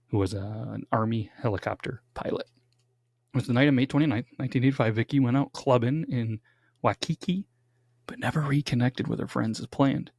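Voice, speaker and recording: Young male podcast host, mid-pitch, chatty and jokey, dry close studio sound. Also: darkish tone, dry recording. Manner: chatty, jokey, dramatic build-ups. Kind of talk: casual true-crime podcast chat. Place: home studio.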